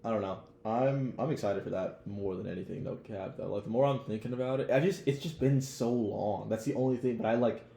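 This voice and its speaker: A man's voice: fairly low pitch, fairly quick, few pauses, dark tone. A young American man co-hosting a podcast in casual, slangy chat, rambling and peppering his talk with "like" and "bro.". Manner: rambling, heavy use of "like" and "bro". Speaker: podcast co-host. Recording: roomy echo, clear background noise.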